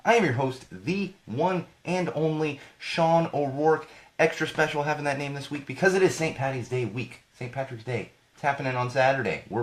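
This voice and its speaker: Hoarse, congested man hosting a podcast. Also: medium pitch. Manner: ranting, repeats phrases, talks straight to viewers. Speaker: podcast host. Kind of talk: casual live podcast hosting.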